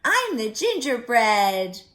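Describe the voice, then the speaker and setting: Storybook gingerbread girl, played by a woman: high, boastful, taunting, sing-song rhymes drawn out slowly. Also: big pitch swings, a bit bright, full character voice. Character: cheeky, boastful little gingerbread cookie girl, taunting her chasers.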